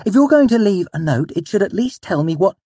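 A man's voice: on the high side, animated, normal speed, a little mellow, dry recording, voice pushed up. A man voicing an indignant, theatrical young male fae creature, fussy and haughty, for a storybook. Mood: demanding.

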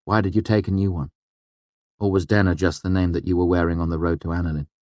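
A man's voice: low-pitched, fairly even intonation, quick pace, a little mellow, no room sound, natural voice. A smitten young male student, acted by a man reading an audiobook talks earnestly while trying to seem casual. He is bashful, and the recording is dry and close. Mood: warm, protesting. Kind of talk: audiobook character dialogue.

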